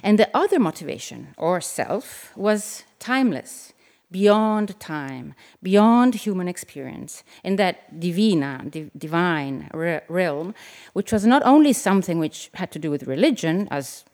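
An Italian woman, a novelist, reading her essay aloud at a live event in a measured, reflective way, with the odd aside. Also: fairly low pitch, animated, a little mellow. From Italy. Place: event venue with an audience.

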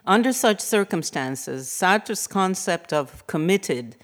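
A middle-aged woman, a writer and academic reading a prepared lecture in a measured, formal way. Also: on the low side, expressive. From Hungary. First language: Hungarian.

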